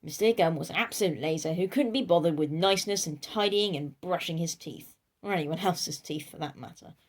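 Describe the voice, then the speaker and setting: A woman's voice: on the low side, a little fast. A woman reading a children's storybook aloud in a playful, theatrical way, speaking directly to her listeners. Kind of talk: animated children's storybook narration.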